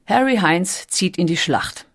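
A woman's voice: on the low side, animated, unhurried, fairly bright tone. A woman reading a scripted documentary audiobook as its narrator. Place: recording studio.

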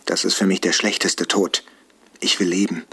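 A man's voice: low voice, level tone, normal speed, vocal fry, a bit bright, breathy edge, exaggerated voice. An actor playing a rebellious, desperate male therapy patient in a radio drama, speaking in an agitated way. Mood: desperate, pleading. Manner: agitated, defiant.

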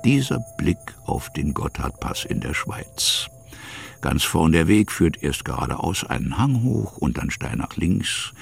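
A man with a gravelly, husky voice narrating a literary radio drama in short, weighty, dramatic phrases. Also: rumbling low, slight background noise, heavily acted.

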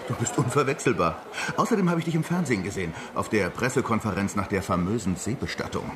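Radio drama casting: actor playing chummy male editor, effusive, swinging pitch, ambient noise behind. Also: expressive, fast, lightly acted. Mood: friendly. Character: chummy, effusive male newspaper editor, old university acquaintance.

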